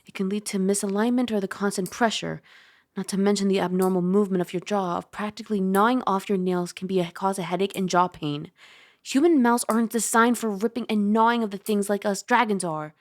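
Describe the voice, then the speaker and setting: A woman playing a caring, teasing dragon girl roommate in an ASMR roleplay, soft, chatty and gently instructive. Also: mid-range voice, steady tone, fairly quick, no echo, studio quality, natural voice. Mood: mild scolding.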